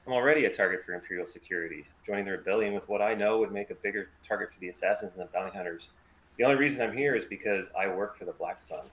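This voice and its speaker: A man reading a gruff, cynical smuggler captain in a sci-fi table read, with faint room noise behind him. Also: on the low side, rapid-fire, a bit bright, natural voice, poor audio. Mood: guarded, defiant.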